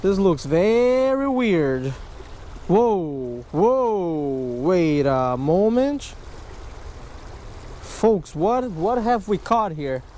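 Excitable high-voiced man filming outdoors. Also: drawn-out words, slight background noise. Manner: excitable, exclaims over each catch, addresses viewers as folks. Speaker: fishing vlogger. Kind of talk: casual vlog narration to camera. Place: outdoors by a wooded creek. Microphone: camera mic, handheld or chest-mounted.

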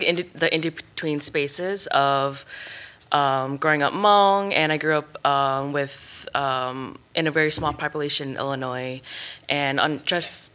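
A woman in her thirties talks on an arts panel, her voice bright and forward. She wanders through her thoughts with frequent ums and restarts, speaking into a table microphone on a stage. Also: low voice. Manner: rambling, many ums and restarts. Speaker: panelist artist. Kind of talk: panel talk. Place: stage at an indoor arts venue.

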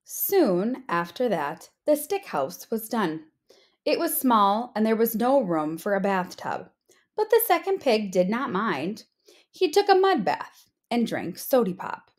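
Slow, expressive woman reading a storybook. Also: mid-range voice. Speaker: storybook reader, teacher. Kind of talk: expressive children's read-aloud narration.

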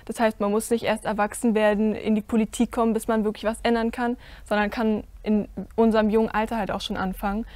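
A teenage girl speaks earnestly on a studio panel, searching for words with many fillers. Her voice is fairly high yet flat in melody. The sound is dry and close. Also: quick pace, few pauses, no room sound. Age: teen. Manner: earnest, filler-heavy, thoughtful. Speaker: youth panelist. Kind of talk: panel discussion. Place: indoor studio set with curtains.

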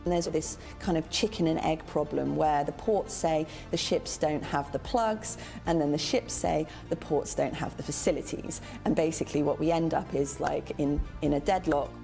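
Low gravelly-voiced woman campaigner. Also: moderate pace, hardly any pauses, mellow, soft background music. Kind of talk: interview.

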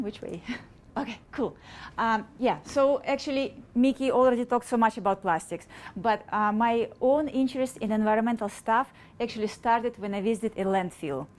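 A middle-aged woman from Ukraine, speaking English with a Ukrainian accent, gives a public talk in halting, informal phrasing, using a handheld mic in a store event room before a small audience. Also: a little high, a little mellow. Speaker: guest speaker.

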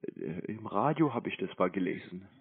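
Low-voiced deadpan man on phone. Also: normal speed, creaky, slightly rough. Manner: deadpan, mocking, terse. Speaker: prank-playing scambaiter. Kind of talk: casual prank phone call. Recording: tinny narrowband phone call.

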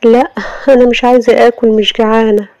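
A fiery young wife with an Egyptian accent, pitched high and bristling with indignation, as a storyteller puts her on. The voice has a gravelly grain under the lift. It sits in a dry, close storybook recording. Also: level tone, a little fast, no echo, dull audio, close to an everyday voice. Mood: defiant. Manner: indignant, sharp retorts. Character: young wife, defiant, quick-tempered and proud. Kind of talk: storybook audio drama.

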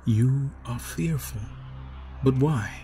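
A man voicing a kindly yet sinister high priest in a storybook reading, speaking softly and in a measured, coaxing way with a low, smooth voice. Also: a little hiss or hum, lightly acted. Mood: persuasive. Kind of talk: storybook narration.

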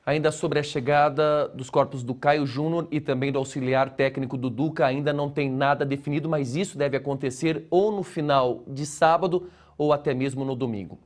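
A man with a Brazilian Portuguese accent presenting the news from a TV studio in a steady voice. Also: medium pitch, normal speed, hardly any pauses, slight background noise. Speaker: news anchor.

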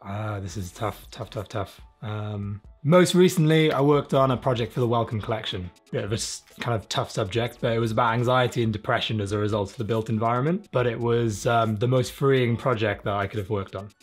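A man's voice: mid-range voice, fairly quick, hardly any pauses, soft background music. A man in his thirties from South Wales chatting casually and self-deprecatingly as an interview guest, with informal, sweary talk. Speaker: interviewed illustrator. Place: small room, home studio indoors.

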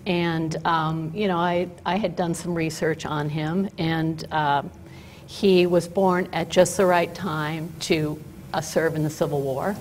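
A woman's voice: sits low, steady tone, slow pace, a little hiss or hum. Older woman lecturing from a podium. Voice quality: loud voice. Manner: chatty storytelling with fillers. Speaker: guest speaker. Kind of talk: lecture with slides. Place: auditorium stage at a podium. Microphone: podium mic.

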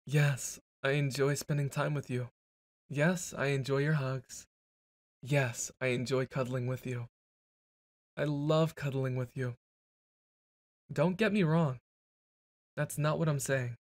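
Slow, teasing young man in roleplay. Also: mid-range voice, fairly even intonation, no room sound, clean studio sound. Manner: brash, teasing, flustered stammering. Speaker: boyfriend roleplay performer. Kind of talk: ASMR boyfriend roleplay.

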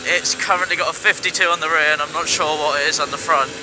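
A young man from England chatting casually to viewers from a helmet camera while riding a dirt bike, relaxed and rambling. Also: on the high side, fast talker. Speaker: motovlogger. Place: riding a dirt bike on wet country roads. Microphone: helmet-mounted camera mic. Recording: helmet cam with engine and wind noise. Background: engine and wind noise.

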